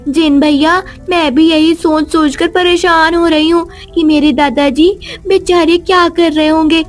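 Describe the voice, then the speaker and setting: A woman voicing an innocent, timid young girl who speaks in an earnest, pleading way in an animated children's story. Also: extremely high pitch, measured pace, soft background music. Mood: pleading, troubled.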